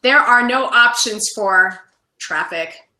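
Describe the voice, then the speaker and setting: Bright-voiced woman in an echoey room. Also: a little high, lively intonation, slow pace. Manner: emphatic, lists points firmly. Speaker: panelist. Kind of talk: casual panel chat. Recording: roomy echo.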